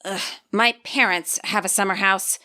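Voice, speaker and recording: A woman's voice: medium pitch, fairly even intonation, normal speed, bright, forceful tone, natural voice. A woman voicing a touchy fourteen-year-old boy who grumbles defensively, in a storybook reading. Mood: grumbling.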